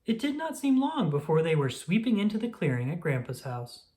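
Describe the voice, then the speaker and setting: A storyteller reading a children's picture book aloud in a slow, gentle manner. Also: medium pitch, sing-song.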